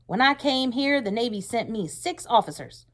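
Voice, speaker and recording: A woman's voice: a little high, animated, normal speed, pitched above the performer's own voice. A woman reading a storybook aloud, voicing a fierce, hard-driving male wartime Navy commanding officer with stern, emphatic delivery. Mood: angry, resolute. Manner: stern, forceful, emphatic speech-making. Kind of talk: read-aloud storybook character voice.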